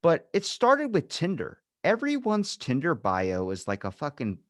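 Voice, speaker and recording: Male podcast host: very expressive intonation, dry close recording, casual, profane, rambling storyteller. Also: a little high, unhurried, no room sound. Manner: rambling, acts out both sides of conversations. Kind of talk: casual podcast chat with animated anecdotes.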